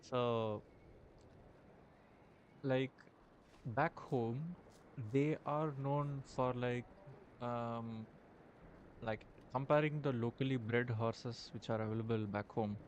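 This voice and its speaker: A man with an Indian accent chatting in character during a roleplay game, halting and full of fillers. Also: medium pitch, fairly even intonation, dull audio, a little hiss or hum. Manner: hesitant, halting, many fillers. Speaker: roleplay streamer.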